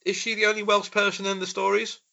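Bright, excitable male podcast host. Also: high voice, fast, gravelly, highs cut off. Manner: enthusiastic, stammering restarts, acts out quotes. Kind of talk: casual podcast chat.

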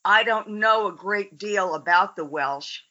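American woman, measured and dry, bright tone, muffled recording. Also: mid-range voice. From United States. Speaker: podcast guest, lawyer. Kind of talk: casual podcast chat.

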